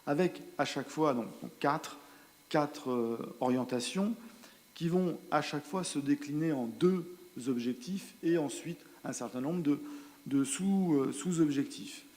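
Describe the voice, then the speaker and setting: A man giving a spoken presentation at a council meeting, explaining results in a hesitant, halting way with repeated words. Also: a little high, a little mellow. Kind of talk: spoken presentation of survey results and strategy, partly improvised. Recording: reverberant hall sound.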